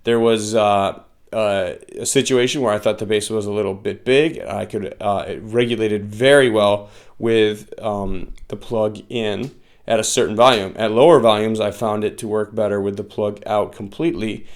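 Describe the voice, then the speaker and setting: A man giving a casual product review in a halting way, often pausing mid-phrase. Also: on the low side, fairly even intonation. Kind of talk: semi-scripted casual review.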